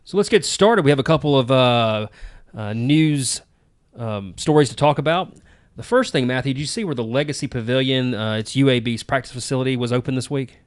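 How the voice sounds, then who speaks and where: A relaxed man hosting a laid-back podcast, talking at an even, middling pitch. He chats in an easygoing way with frequent ums and uhs. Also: brisk. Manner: relaxed, easygoing, many fillers. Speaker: podcast host. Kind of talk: casual podcast chat.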